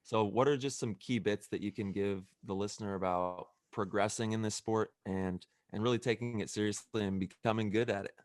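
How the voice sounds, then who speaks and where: This is a man with a fairly low voice who hosts a podcast. He asks earnest, searching questions. The sound is dry and close on a studio mic. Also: steady tone, brisk, few pauses, darkish tone, no echo. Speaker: podcast host. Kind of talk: podcast interview.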